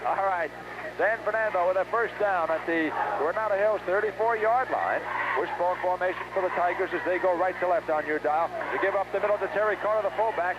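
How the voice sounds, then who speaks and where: High-pitched man calling football on noisy old radio. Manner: excitable, urgent calls on big plays. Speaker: play-by-play football announcer. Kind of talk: live radio play-by-play commentary. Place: outdoor stadium, game broadcast. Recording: noisy muffled 1970s radio broadcast.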